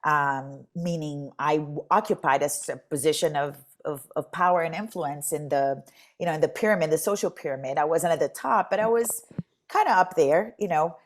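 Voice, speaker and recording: A woman's voice: deep voice, moderate pace. A woman in her forties from Brazil, speaking as a panel guest, thoughtful and correcting herself as she goes. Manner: thoughtful, self-correcting, sometimes speeds up. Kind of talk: reflective panel conversation.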